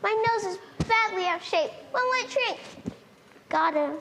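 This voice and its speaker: A woman's voice: very high-pitched, lively intonation, normal speed, vocal fry, heavily acted. A woman playing a curious elephant calf. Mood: pleased. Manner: eager, endlessly questioning. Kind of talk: stage play acting. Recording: reverberant hall, faint noise.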